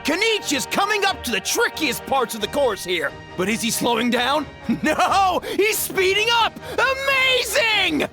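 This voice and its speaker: A man playing an excitable tournament announcer in a video game, giving theatrical, hyped sports commentary. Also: very high-pitched, unhurried, music in the background, exaggerated voice.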